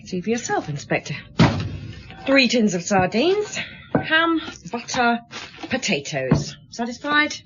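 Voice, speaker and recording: Prickly, defensive housewife, lively pitch. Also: female voice, slow pace, highs cut off, very noisy. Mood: defensive, irritated. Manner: curt, indignant, sarcastic. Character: prickly, defensive married woman under police questioning. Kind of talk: radio drama acting.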